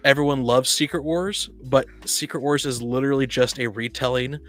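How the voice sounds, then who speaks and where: A man co-hosting a casual podcast discussion, talking in a halting way and often rephrasing mid-sentence. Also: medium pitch, fairly even intonation, fairly bright tone, soft background music. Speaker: podcast co-host. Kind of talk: casual discussion of tabletop roleplaying.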